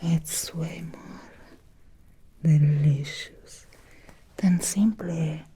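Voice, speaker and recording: Woman as a whispering, very slow seductive vampire. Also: low voice, fairly even intonation, full character voice. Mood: obsessed, hungry. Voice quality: breathy soft whisper. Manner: slow, deliberate, intimate, teasing with drawn-out pauses. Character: obsessed seductive female Victorian vampire, hungry, menacingly tender. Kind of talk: whispered ASMR roleplay.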